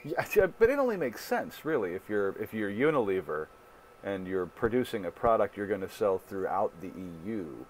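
A man with a somewhat low voice co-hosts a podcast in relaxed, agreeable chat. He works through his points aloud. A faint hiss sits under him. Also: moderate pace, low-quality recording. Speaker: podcast co-host.

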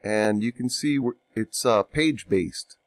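Guy with a cold walking thru a drawing program, lowish voice, dry close sound, lots of ums. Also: no echo. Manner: unhurried, thinking aloud with ums and self-corrections. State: getting over a cold. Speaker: software tutorial instructor. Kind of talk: screen-recorded software tutorial.

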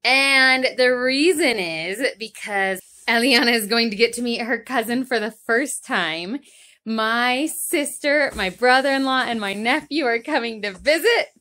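A woman in her thirties, narrating a casual home cleaning vlog and speaking to her toddler in a gentle sing-song way, in a small bedroom with a camera across the room. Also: on the high side, unhurried. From United States. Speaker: vlogger and mother. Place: small apartment bedroom indoors. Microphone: camera set up across the room.

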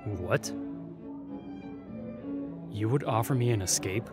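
Man acting a slow, bitter young court spy. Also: lowish voice, loud music behind the voice. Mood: defensive, cold. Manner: sardonic, bitter, guarded, with pauses. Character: jaded, defiant young male spy serving at a royal court. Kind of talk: audio roleplay acting.

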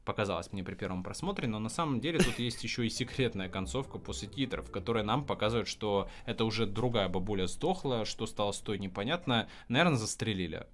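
A man's voice: on the low side, animated, fast, few pauses. A man giving a comedic recap of creepy animations in a sardonic, crude way, swearing freely. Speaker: video narrator.

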